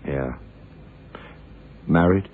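Very deep-voiced gruff FBI investigator. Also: male voice, heavily acted. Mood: probing, suspicious. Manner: dry, probing, deliberate questioning. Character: gruff, probing middle-aged male FBI investigator. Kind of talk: radio drama acting. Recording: muffled, noisy 1960s radio drama recording.

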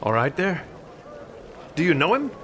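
A man playing a brisk, determined colonial townsman in a period radio drama, speaking in an urgent, clipped way. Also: a little high, very animated, noisy background. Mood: alarmed.

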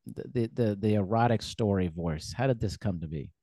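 A man's voice: fairly low pitch, a little fast, warm tone, no room sound. Man, podcast host, easygoing, encouraging, dry close sound. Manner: easygoing, encouraging, laughs along. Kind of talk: casual interview conversation.

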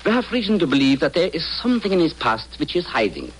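An actor playing a polite, deliberate European police inspector with formal, precise speech, in old-time radio drama style. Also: male voice, on the high side, very animated, breathy edge. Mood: formal, careful. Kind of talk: 1940s-50s radio drama acting. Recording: slightly noisy old radio recording.

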